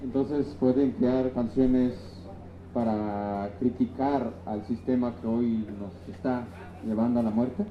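Slow-talking male interviewer in echoey space. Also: mid-range voice, fairly even intonation. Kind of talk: hesitant, polite questioning. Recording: dull, echoey, noisy space with crowd.

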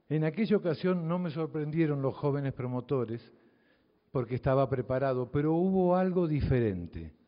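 An older man from the Southern Cone with a Southern Cone Spanish accent gives a reflective, provocative public talk to an audience as a mathematics teacher. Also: mid-range voice. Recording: dull audio from a reverberant hall.

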